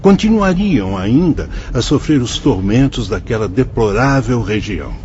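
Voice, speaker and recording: A man with a Brazilian Portuguese accent plays a calm, wise male spirit instructor who explains things patiently in a radio drama. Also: mid-range voice, measured pace, a little hiss or hum, lightly acted. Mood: patient, instructive. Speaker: spirit guide explaining the colony. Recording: dull, muffled sound.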